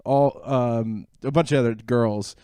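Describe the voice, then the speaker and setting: Mid-pitched man rambling casually. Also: fairly even intonation, dark tone, smooth, no room sound, clean studio sound. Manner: rambling, halting, many fillers. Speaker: podcast co-host. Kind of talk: casual chat.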